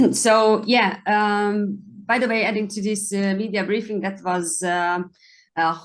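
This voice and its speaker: A woman's voice: mid-range voice, steady tone, slow pace. Woman searching for her words. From Hungary. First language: Hungarian. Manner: hesitant, searches for words, apologetic. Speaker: podcast co-host. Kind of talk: casual chat.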